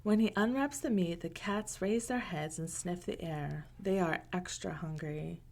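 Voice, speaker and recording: A woman reading a picture book aloud to children in a gentle, unhurried way, with casual asides. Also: on the low side, gravelly. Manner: gentle, unhurried, pausing between short phrases.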